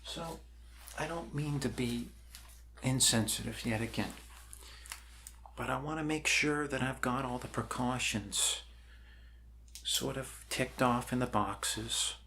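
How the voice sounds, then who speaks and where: A man performs an ASMR roleplay as an unconventional, awkward doctor. He talks softly at a middling pitch, in a rambling, hesitant way with frequent apology and reassurance. Faint background noise sits behind the voice. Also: takes it slowly, pauses often. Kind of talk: ASMR roleplay, improvised soft talk.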